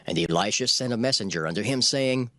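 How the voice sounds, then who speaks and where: A man narrating dramatized scripture in a measured, solemn storytelling manner. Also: mid-range voice, lively intonation, pushed and bright, no echo, studio quality. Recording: faint music behind the voice.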